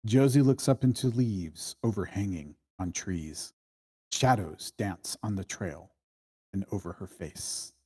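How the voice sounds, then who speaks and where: A man's voice: lowish voice, a little slow, mellow, husky. A man reading out scene directions as narrator at a screenplay table read.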